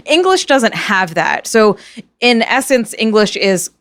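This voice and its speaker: A woman's voice: a little high, sing-song, a little slow, a bit bright, no room sound. Chatty woman podcast host, dry sound. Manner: chatty, with many fillers and hesitant restarts. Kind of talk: casual chat.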